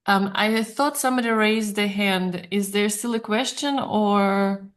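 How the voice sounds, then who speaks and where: A woman's voice: medium pitch, steady tone, a little slow. A woman speaking as the moderator of an online session, politely stepping in.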